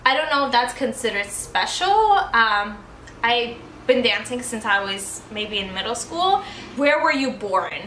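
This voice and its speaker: A woman's voice: on the high side, expressive, a little slow, sharp tone, slight background noise. A young woman, born in Ukraine and raised in the United States, chatting casually to camera as she answers tag questions, with lots of likes and ums. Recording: echoey room.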